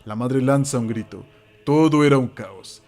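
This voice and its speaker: A man narrating a horror radio drama from a script, theatrical and suspenseful, with dramatic pauses. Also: medium pitch, darkish tone, faint music behind.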